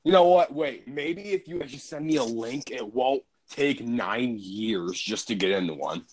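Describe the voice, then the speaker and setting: A teenage boy chatting with friends, his pitch swinging widely as he talks. He rambles, restarts and trails off. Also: animated, unhurried. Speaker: co-host friend. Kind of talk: casual chat.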